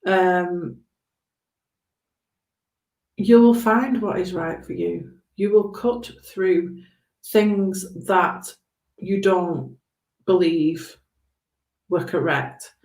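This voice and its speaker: A woman giving a spontaneous live tarot reading in a halting, reassuring, conversational way, with a slightly hoarse voice. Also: lowish voice, darkish tone. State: hoarse after long talking. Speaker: tarot reader hosting a live stream. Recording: roomy, echoing room sound.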